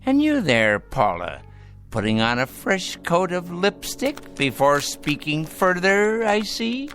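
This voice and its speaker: Rich dying grandpa in a radio play, slow and sarcastic, voice swings up and down. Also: male voice, high voice, wildly swooping pitch, a bit bright, soft background music, full character voice. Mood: biting sarcasm. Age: older. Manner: sardonic, theatrical, deliberate. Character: dying, caustic rich old grandfather, mocking his family. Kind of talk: radio drama acting.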